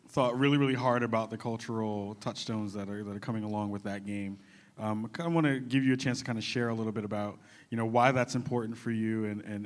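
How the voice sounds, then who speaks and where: Enthusiastic man moderating a panel. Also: lowish voice. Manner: enthusiastic, casual slang. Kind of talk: panel discussion.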